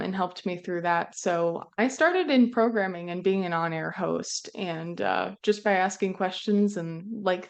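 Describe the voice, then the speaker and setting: A woman's voice: fairly low pitch, smooth. A young woman from central Minnesota, a broadcast engineer answering interview questions in a friendly way with frequent ums. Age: young adult. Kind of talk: conversational interview.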